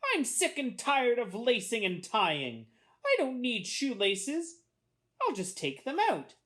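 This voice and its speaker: Exasperated storybook schoolboy voiced by a woman, very high, dramatic, swinging wildly in pitch. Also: sing-song, takes it slowly, fairly bright tone, exaggerated voice. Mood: fed up. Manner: plaintive, exclaiming, dramatic. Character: exasperated little boy plagued by untied shoelaces.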